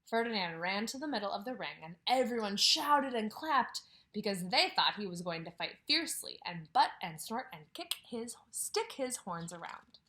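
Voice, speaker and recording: A kindergarten teacher reads a picture book aloud to her class, her woman's voice bright and clear. Her pitch swings up and down as she acts out the story. She keeps breaking off to ask the children questions and sometimes drops to a whisper. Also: big pitch swings, normal speed, few pauses. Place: at home, near a couch.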